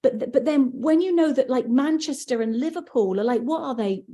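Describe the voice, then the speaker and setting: A woman's voice: high voice, brisk, mellow. A woman audiobook narrator talking casually on a podcast, chatty, with frequent restarts and fillers.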